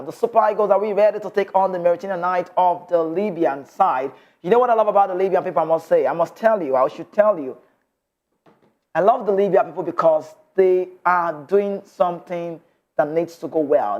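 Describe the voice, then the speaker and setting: A man's voice: high-pitched, a little mellow, dull audio. A man with a Nigerian accent hosting a sports show, reading football news and giving passionate commentary with bits of Pidgin.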